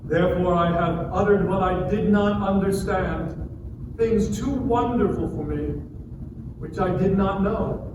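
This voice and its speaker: Repentant, suffering servant of God, played by a man: slow, solemn, higher male voice, scripture drama in a very echoey hall. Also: lo-fi sound. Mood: humbled, repentant. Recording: echoey hall, distant mic, background noise.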